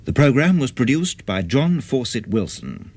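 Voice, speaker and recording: Male continuity announcer, British accent, formal scripted delivery, varied intonation, loud music behind. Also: medium pitch, sing-song, normal speed.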